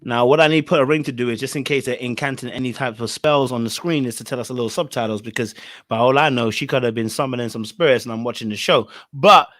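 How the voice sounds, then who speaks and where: A man's voice: mid-range voice, fast, fairly bright tone. A young man from Ghana hosting a casual podcast about a reality dating show, chatty and laid-back, laughing easily. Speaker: podcast host.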